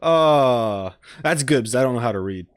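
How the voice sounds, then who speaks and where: A man's voice: mid-range voice, expressive, moderate pace, smooth, dry recording, studio quality. A man hosting a casual video game reaction, chatty and rambling with a self-deprecating way of talking.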